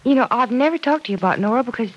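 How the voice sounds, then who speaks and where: A woman playing a caring, protective guardian, gentle and earnest with a teasing side, in 1940s radio serial acting. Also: a little high, animated, very fast, a little hiss or hum, lightly acted. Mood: earnest, concerned. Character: Penny Gibbs, caring, protective woman guardian wary of young love. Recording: dull old radio recording.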